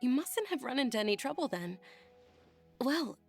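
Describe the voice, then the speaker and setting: A woman voicing a polite, caring young noblewoman in a video game, speaking gently and attentively. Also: high voice, sing-song, moderate pace. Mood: gentle, curious. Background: game music.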